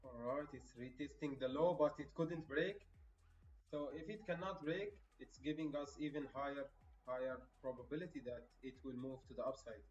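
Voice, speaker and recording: Guy from egypt chatting on a live stream, calls people bro, echoey room, faint music behind. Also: a little high. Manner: chatty, addresses viewers as bro and my friend, lectures on discipline. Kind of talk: casual live-stream commentary. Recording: roomy, distant and dull with faint music.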